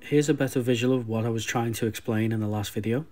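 Young man, sore throat, low-key tutorial narration, plain mid voice, light background music. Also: fairly even intonation, quick pace, a little mellow. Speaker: toy customizer host.

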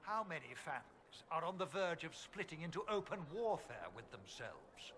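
A man's voice: a little high, sing-song, moderate pace, fairly bright tone, slight background noise. A man playing a pompous, indignant church chancellor in a fantasy video game, haughty and declamatory. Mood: scornful. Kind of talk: video game dialogue acting.

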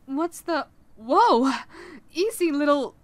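A woman's voice: high voice, expressive, takes it slowly, no room sound. A woman voicing a caring, teasing, motherly vampire woman in a soothing roleplay audio, coaxing and speaking directly to the listener. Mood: startled, cautious. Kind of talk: roleplay audio acting, ASMR-like.

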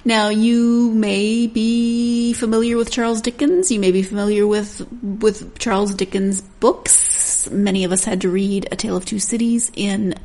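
Woman podcast host, lots of vocal fry, chatty book review style. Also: medium pitch, fairly even intonation, a little slow, hardly any pauses, mellow.

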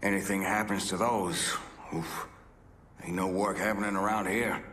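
An actor playing a gruff, bitter working-class dock union man in a video game, blunt and profane. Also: low-pitched, steady tone, normal speed, a bit bright, a little hiss or hum. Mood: grumbling, bitter.

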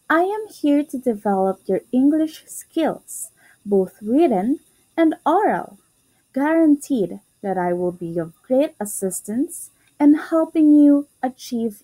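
A woman's voice: a little high, dark tone, rough recording. A woman who works as an online English tutor, reading a scripted tutorial and self-introduction in a careful, presentational way.

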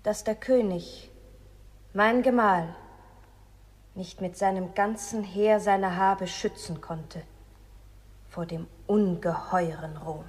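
A woman's voice: medium pitch, steady tone, slow pace, long pauses, a little mellow, natural voice. An actress playing a sorrowful captive queen who gives witness in poetic radio play verse. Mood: sad, bitter. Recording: long hall reverb.

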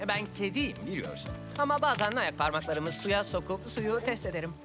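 A man's voice: sits high, animated, moderate pace, over music. A man voicing a small, fussy, talkative male cartoon cat in a dubbed children's cartoon.

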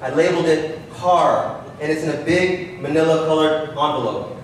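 A man's voice: a little high, normal speed, lightly acted. Man acting earnest son in echoey hall. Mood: insistent. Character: patient, anxious adult son settling his affairs. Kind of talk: staged play reading. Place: large glass-walled public hall, on stage. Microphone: handheld. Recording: echoey hall, distant stage microphone, faint noise.

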